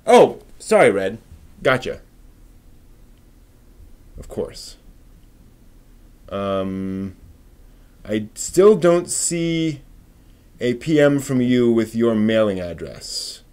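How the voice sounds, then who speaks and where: A man's voice: mid-range voice, very animated, very slow, long pauses, darkish tone, clear, resonant tone. A man hosting a live stream, chatting casually with viewers in an informal, rambling way as he thinks aloud.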